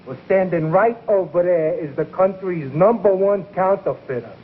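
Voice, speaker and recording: An actor playing a mild, matter-of-fact long-time convict in a 1950s TV comedy sketch, with a hesitant, deadpan delivery. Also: male voice, high voice, normal speed, phone-quality sound, natural voice. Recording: thin, noisy 1950s broadcast recording.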